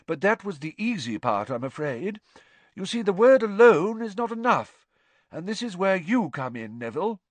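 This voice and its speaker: A man voicing a kindly, cheerful male professor in a gently theatrical, encouraging manner. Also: high voice, sing-song, normal speed, dry recording, voice pushed up. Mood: cheerful teacherly. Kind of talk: audiobook character voice.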